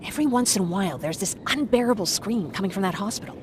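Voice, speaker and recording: A woman's voice: mid-range voice, lively intonation, fast, noisy background, close to an everyday voice. A woman voicing a streetwise, wry and wary young scavenger in a game. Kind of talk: game voice acting, companion dialogue.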